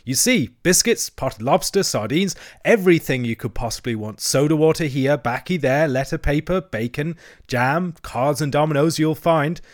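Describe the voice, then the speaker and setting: A man voicing a boastful, excitable male toad in a storybook, with a pompous, theatrical manner. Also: medium pitch, moderate pace, lightly acted. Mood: triumphant. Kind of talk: storybook character acting.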